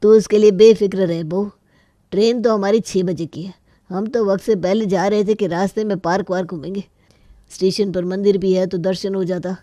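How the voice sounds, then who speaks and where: A woman's voice: mid-range voice, fairly even intonation, normal speed, warm tone, no echo, clean studio sound, natural voice. A woman voicing a particular, food-loving middle-aged man of the family, talking in a coaxing manner. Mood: reassuring.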